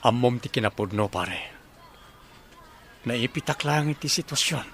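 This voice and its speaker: A man playing an earnest, conflicted young father with a measured delivery in an Ilocano radio drama. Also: medium pitch, animated, a bit bright, slight background noise. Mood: troubled.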